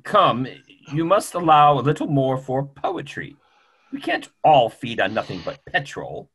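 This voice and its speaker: A middle-aged actor playing a kindly, reflective old doctor in a stage play reading. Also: male voice, medium pitch, sing-song, a little slow, a bit bright, breathy edge, full character voice. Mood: gently reasoning. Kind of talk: stage play reading over video call. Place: small room, video call.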